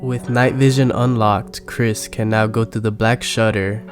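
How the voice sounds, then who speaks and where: Low-voiced man narrating over music. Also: flat delivery, a little slow. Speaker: video game lore narrator. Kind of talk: scripted recap narration. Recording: music bed under the narration.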